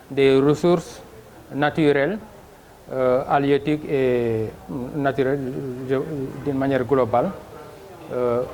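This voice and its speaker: A man with a Senegalese accent giving a formal, measured interview statement as a local official, with halting phrasing. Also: mid-range voice, a little mellow, rough recording. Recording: clear background noise, on-location ambience.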